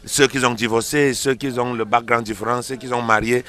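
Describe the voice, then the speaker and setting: A man's voice: medium pitch, steady tone, a little fast, sharp tone. A man speaking as a pastor in an interview, explaining in an emphatic, teacherly way and repeating phrases for stress. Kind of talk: explanatory interview answers on a religious show.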